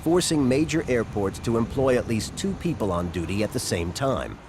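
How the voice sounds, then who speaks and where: A man reading scripted documentary narration in a measured, suspenseful storytelling manner. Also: mid-range voice, expressive, background noise. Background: background music.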